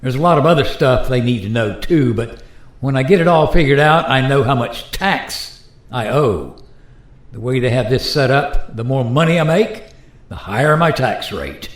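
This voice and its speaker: A man's voice: mid-range voice, lively intonation, moderate pace, natural voice. A man playing an easygoing modern airline pilot in a radio play, talking in a casual, explanatory way. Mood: resigned, explaining. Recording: roomy, echoing sound.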